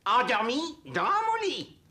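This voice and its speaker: A woman's voice: sits high, big pitch swings, slow pace, sharp tone, a little hiss or hum, heavily acted. A woman voicing a grumpy, fussy little forest dweller in a French cartoon dub, objecting in a hesitant, pedantic way. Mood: outraged. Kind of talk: French-dubbed cartoon acting.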